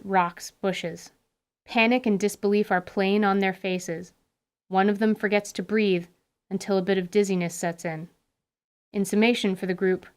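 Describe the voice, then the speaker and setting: A woman's voice: mid-range voice, a little mellow, smooth. A woman giving dramatic, scripted narration for a fantasy radio play. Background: music.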